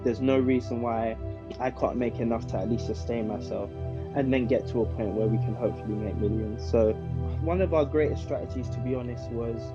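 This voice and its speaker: A man's voice: medium pitch, fairly quick. A man from South London with a London accent, answering casually and reflectively as a podcast guest. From South London, England. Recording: dull, dry recording with music.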